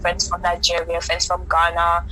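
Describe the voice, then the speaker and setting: A young woman from Kenya with a British accent, chatting as a podcast guest, reflective and quick to laugh. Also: fairly low pitch, monotone, sharp tone, a little hiss or hum, rough recording. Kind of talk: casual chat.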